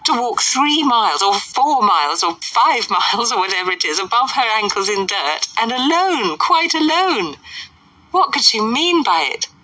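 Woman playing a snobbish, high-pitched society lady. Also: bright, forceful tone, husky, no room sound, distant mic, full character voice, low-quality recording. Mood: arch, disdainful. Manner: arch, theatrical, swooping emphasis.

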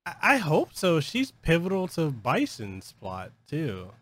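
A man's voice: fairly high pitch, huge pitch swings, slow pace, fairly bright tone, no room sound. A man hosting a casual interview, giving short agreeing replies and laughing along. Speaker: interview host.

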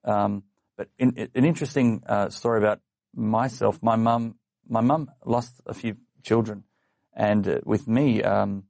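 A man, a young adult podcast guest, telling his life story in a relaxed way with lots of fillers and small laughs. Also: lowish voice, measured pace, gravelly, dry recording. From Australia. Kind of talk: casual interview chat. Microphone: studio microphone.

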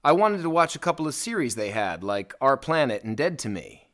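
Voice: medium pitch, very animated, brisk, a bit bright. A speaker reading scripted lesson answers with a dry, wry delivery.

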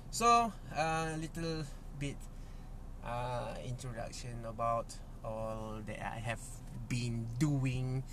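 A young Malaysian man, a native Malay speaker, hosting a casual solo podcast in English, halting with many fillers and restarts. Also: medium pitch, noisy background. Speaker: podcast host.

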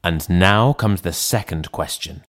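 A man reading the part of a sober, rational gentleman lawyer in an audiobook, in a measured, formal manner. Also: deep voice. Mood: grave, resolute.

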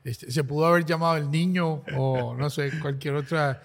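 A Venezuelan man with a Venezuelan accent, a singer-songwriter guest explaining things in a friendly, warm way. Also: on the high side, steady tone, moderate pace, vocal fry, in a large hall. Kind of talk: casual interview.

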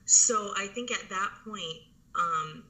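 A woman in her thirties tells her story as an interview guest, her voice strikingly bright and edged. She talks loosely, full of "um" and "like" and false starts. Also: mid-range voice, slow pace, rough recording. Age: 30s. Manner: rambling, many fillers and restarts. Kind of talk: casual conversational storytelling.

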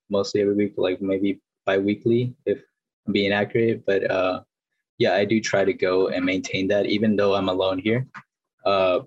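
A man's voice: deep voice, no room sound, lo-fi sound. A man in his twenties from Peru, answering interview questions hesitantly with many fillers and restarts. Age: 20s. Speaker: guest.

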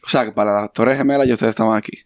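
A man's voice: on the low side, steady tone, very fast, no echo, clean studio sound. A man in his thirties hosting a casual podcast interview. He talks in an easygoing way and asks friendly questions. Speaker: podcast host.

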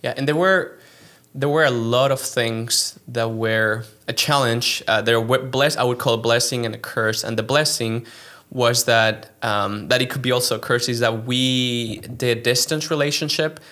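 A man's voice: medium pitch, steady tone, moderate pace, few pauses, sharp tone. A young man from Chile who works as a pastor, talking earnestly in a casual interview and often restarting his sentences.

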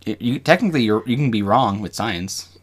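A man co-hosting a podcast, talking casually and reading from notes, with halting, rambling explanations. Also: on the low side, lively intonation, breathy edge. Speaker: podcast co-host. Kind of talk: casual discussion with some reading aloud from notes.

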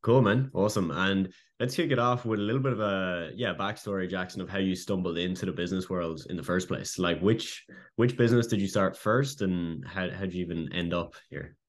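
Upbeat male host with a low voice, relaxed interviewer. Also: brisk. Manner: upbeat, informal, loose phrasing with fillers. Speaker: host and business coach. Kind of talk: casual interview chat.

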